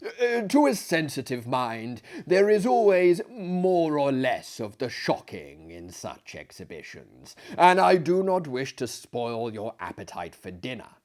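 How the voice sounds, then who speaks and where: A man's voice: medium pitch, sing-song, unhurried, few pauses, a little mellow. A man voicing a genial, pompous older asylum director in a theatrical story reading, lecturing with emphasis. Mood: courteous. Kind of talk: theatrical storytelling of a classic tale.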